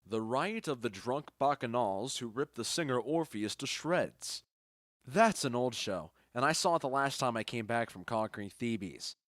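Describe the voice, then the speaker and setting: A man's voice: medium pitch, lively intonation, normal speed, a bit bright, no echo, close to an everyday voice. Man acting a confident, genial duke, dry studio sound. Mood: curious, amused. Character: confident, genial Athenian duke and bridegroom, skeptical of fancies. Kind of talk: audio drama acting of modernized Shakespeare.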